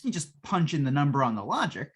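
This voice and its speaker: A woman's voice: deep voice, big pitch swings, fast talker, breathy edge, full character voice. A woman playing a folksy, long-suffering salesman in a 1950s-style radio drama, giving colloquial, patient sales patter. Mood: eager, explanatory.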